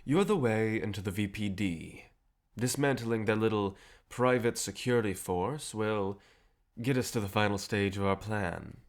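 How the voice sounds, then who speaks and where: An actor playing a cheerful, self-assured, century-old vampire rebel leader in an audio drama, courteous and persuasive with a theatrical manner. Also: male voice, on the low side, a little slow. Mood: determined, persuasive. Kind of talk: scripted audio drama acting.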